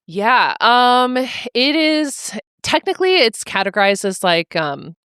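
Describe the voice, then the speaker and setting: A woman's voice: a little high, very animated, takes it slowly, a bit bright, smooth, no echo, studio quality. A young adult woman guest on a podcast, talking casually and thoughtfully, with many fillers and restarts. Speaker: author guest. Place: mobile podcast studio.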